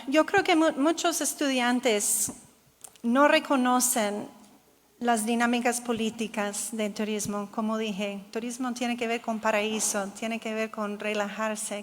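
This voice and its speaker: A woman's voice: fairly high pitch, a little slow. A woman giving a keynote talk, answering audience questions in careful Spanish that is not her first language, with restarts. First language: English. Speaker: keynote speaker. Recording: long hall reverb.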